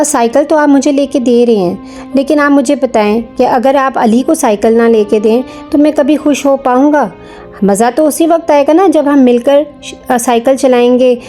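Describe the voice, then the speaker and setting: A woman's voice: sits high, warm tone, close to an everyday voice. A woman voicing a kind, earnest young boy in a children's story, sweet and pleading. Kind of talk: children's moral story acting.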